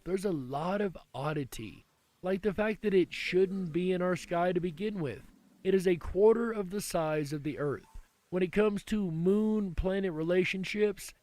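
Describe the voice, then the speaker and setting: A man's voice: on the high side, a little mellow. A man hosting a mystery talk radio show, speaking with a theatrical showman's flair. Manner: showman, theatrical, calls himself a lunatic. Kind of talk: paranormal talk radio monologue.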